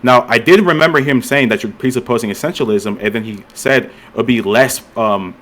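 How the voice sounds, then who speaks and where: A man's voice: mid-range voice, a little fast, a bit bright. A man moderating a voice-chat debate, hesitant and full of fillers as he clarifies the arguments.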